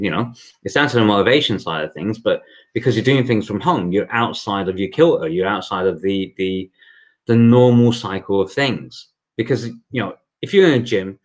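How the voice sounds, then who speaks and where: A man hosting a casual livestream interview, talking loosely and repeating words as he thinks. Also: fairly low pitch. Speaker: host.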